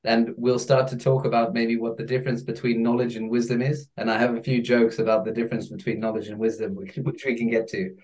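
A man hosting a podcast, chatting loosely with a guest and pausing on fillers as he thinks. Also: medium pitch, flat delivery, darkish tone, low-quality recording. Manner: hesitant, with many fillers and quick laughs. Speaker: podcast host. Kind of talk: casual interview chat.

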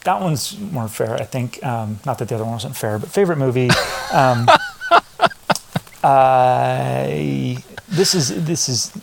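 A man in his thirties chatting as a podcast guest, his slightly nasal voice carrying a gravelly edge. He wanders through his answers with lots of ums, likes and false starts. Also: mid-range voice, lively intonation, takes it slowly, very noisy. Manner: hesitant, rambling, many restarts and fillers. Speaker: podcast guest, former runner. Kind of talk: casual interview chat.

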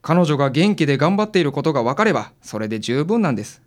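A man's voice: on the high side, quick pace. Flustered Kansai family man played by a male actor. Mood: wistful. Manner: hesitant, defensive, excitable. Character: flustered, sentimental married father, a male client. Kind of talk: audio drama acting.

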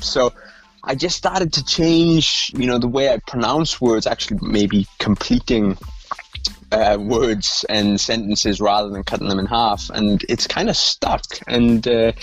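A man in his thirties with a softened Welsh accent talks in a mid-pitched, easygoing voice. He rambles through his story with restarts and small laughs. Faint music plays underneath. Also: low-quality recording. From Wales, Rhondda Valleys. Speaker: podcast guest. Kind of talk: casual conversation.

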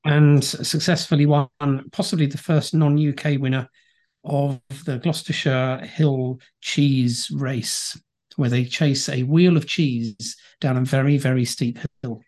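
A man hosts a podcast in a mid-pitched voice. He sounds upbeat and friendly, and leans hard on key words as he asks his questions. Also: steady tone, a little slow, darkish tone. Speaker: podcast host, interviewer. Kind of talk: casual podcast chat.

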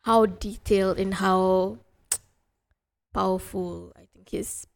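A woman podcast host in a relaxed interview chat. She speaks reflectively, feeling her way toward each thought, with a mid-pitched voice. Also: slow, creaky. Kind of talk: casual conversational interview.

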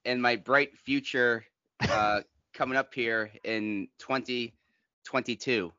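A playful man in his thirties jokes with friends, halting and laughing as he goes. His voice is bright and forward at a middle pitch. The sound is dry and slightly dull. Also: steady tone, no echo. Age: 30s. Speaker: podcast co-host. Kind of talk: casual podcast chat.

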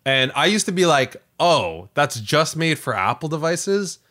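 A man's voice: fairly high pitch, sing-song, unhurried, sharp tone. A man co-hosting a casual tech podcast, talking in an emphatic, conversational way. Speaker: podcast co-host.

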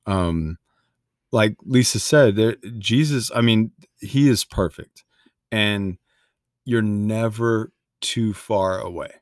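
A man co-hosting a faith podcast, talking in a relaxed, rambling way and joking now and then. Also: lowish voice, takes it slowly, dry recording, clean studio sound. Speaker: podcast co-host. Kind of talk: casual faith podcast chat.

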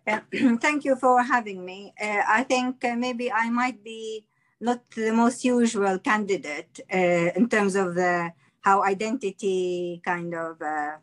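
Woman panel guest, a filmmaker from Russia and Palestine, reflective and unhurried. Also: medium pitch. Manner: thoughtful, hesitant with fillers. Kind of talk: panel discussion.

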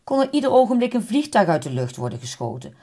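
A woman presents in a medium-pitched voice. She moves between conversational narration and informal interview remarks, phrased in everyday words. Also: big pitch swings, quick pace, mellow.

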